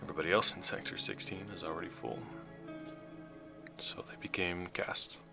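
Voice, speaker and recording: An actor playing a weary vehicle mechanic recording a sombre log in an audio drama, speaking in a halting, reflective way. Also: male voice, fairly even intonation, in a whisper. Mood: sombre recounting. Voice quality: low gravelly voice. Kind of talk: audio drama monologue, naturalistic. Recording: music running under the voice. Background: musical underscore.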